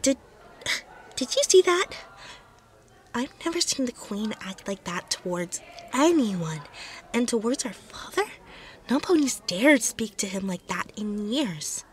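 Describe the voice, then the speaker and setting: A woman's voice: fairly high pitch, big pitch swings, unhurried, a little hiss or hum. A woman playing a young, proper and easily flustered pony in an audio drama, polite and earnest. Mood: astonished. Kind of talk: fan audio drama acting.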